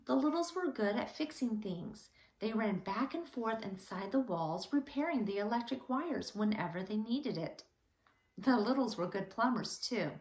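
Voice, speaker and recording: Animated, high-voiced woman reading a children's story. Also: reverberant, rough recording. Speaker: teacher reading aloud. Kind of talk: expressive children's story read-aloud.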